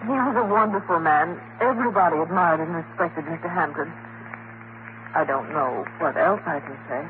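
An actress playing a prim, loyal secretary with a formal, reserved manner in a 1940s radio drama, on a noisy old recording. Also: female voice, deep voice, moderate pace, phone-quality sound, close to an everyday voice. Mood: guarded, respectful.

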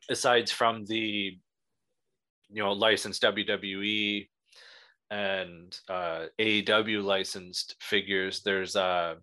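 A man's voice: fairly low pitch, sharp tone. A man hosting an interview, chatting like a fan with many fillers and restarts. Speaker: podcast host. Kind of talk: casual interview.

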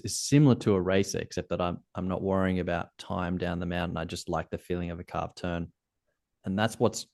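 Low-voiced Australian man talking ski gear. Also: vocal fry. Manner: relaxed, thoughtful, technical about gear. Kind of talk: casual interview chat.

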